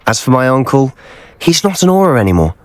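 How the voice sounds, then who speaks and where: An actor playing an earnest, secretive teenage wizard student in a video game, speaking in a measured, persuasive way. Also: male voice, medium pitch, sing-song, dry recording, natural voice. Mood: earnest, determined. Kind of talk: video game cutscene acting.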